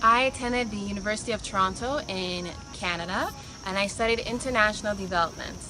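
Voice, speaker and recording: A woman's voice: medium pitch, a little fast, poor audio. A young adult woman from Nigeria, the treasurer, giving a sincere, composed testimonial. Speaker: fellowship treasurer. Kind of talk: personal testimonial. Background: clear background music.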